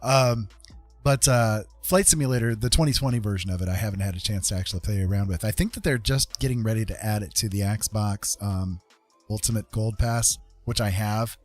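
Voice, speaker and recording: A man hosting a live stream in a casual, rambling chat, often pausing mid-thought and repeating his phrases. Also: lowish voice, faint music behind.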